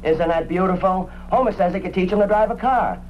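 An actor playing a proud, talkative animal-act agent in a radio drama, with a folksy, slangy way of talking. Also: male voice, high voice, brisk, close to an everyday voice. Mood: boastful, chatty. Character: chatty, proud male animal-act agent, showbiz enthusiast. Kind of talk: old-time radio drama acting. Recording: muffled, faintly noisy old radio recording.

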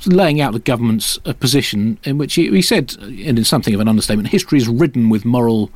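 A man hosting a satirical comedy chat in a deadpan, hesitant way. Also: mid-range voice, fast, dry recording. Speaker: comedy podcast host.

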